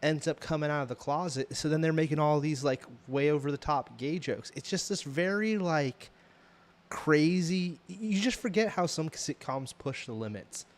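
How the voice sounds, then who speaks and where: A man's voice: on the high side, normal speed. A man with an American accent chatting casually as a podcast co-host, rambling through a TV show review with slangy emphasis.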